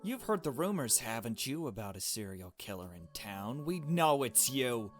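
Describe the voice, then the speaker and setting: A man voicing a blunt, cool young woman investigator from a visual novel, with a dry, deliberate, confrontational manner. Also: medium pitch, very animated, soft background music, natural voice.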